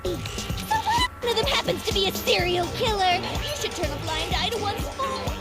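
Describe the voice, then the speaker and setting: A gleeful, unhinged teenage murderess in a dubbed anime game. Her extremely high voice swings wildly and stretches words theatrically, with loud music underneath. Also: sing-song, moderate pace. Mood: manic, boastful. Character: manic, gleeful female serial killer, teenage split personality. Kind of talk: English anime game dub acting.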